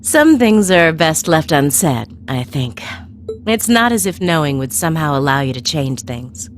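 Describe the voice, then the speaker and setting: Coy, teasing, low-voiced woman villain. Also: very animated, a little slow, soft background music. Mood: knowing, guarded. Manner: coy, teasing, sing-song. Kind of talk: video game voice acting.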